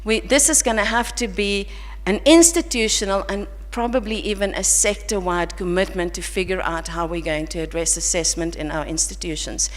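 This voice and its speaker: A woman's voice: medium pitch, moderate pace, hardly any pauses. A South African woman giving an earnest, reflective academic conference talk at a podium mic, with some restarts. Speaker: conference panel speaker. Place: large conference hall. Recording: long hall reverb.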